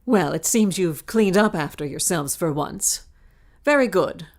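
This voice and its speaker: A scheming governess in an audio melodrama. She speaks slowly and primly, with a syrupy false sweetness and big swoops of pitch. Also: animated. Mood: prim, sweet. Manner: cooing, prim, syrupy. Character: scheming governess feigning sweetness toward her charges. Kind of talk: melodramatic audio drama acting.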